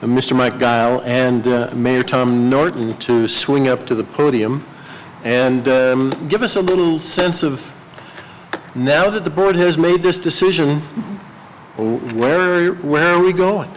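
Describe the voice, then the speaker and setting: A man with a medium-pitched voice chairs a public meeting in an informal style. His voice rings around a reverberant room with noise in the background. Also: fairly even intonation, slow pace.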